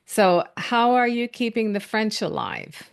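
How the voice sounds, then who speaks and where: A middle-aged woman hosting a podcast interview, affirming her guest and asking gentle follow-up questions. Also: on the high side, sing-song, measured pace, creaky, clear, resonant tone. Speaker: podcast host. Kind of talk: interview conversation.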